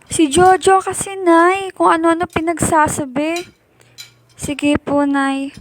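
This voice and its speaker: A woman's voice: extremely high pitch, little pitch movement, slow. A woman playing a dutiful but aggrieved eldest daughter, a student, in an amateur radio drama. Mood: annoyed. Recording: faint noise, clicks and clatter.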